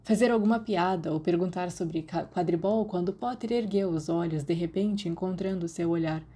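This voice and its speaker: A woman's voice: on the low side, normal speed, warm tone, slightly rough. A woman with a Brazilian accent reading scripted fiction as an audiobook narrator. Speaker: podfic narrator.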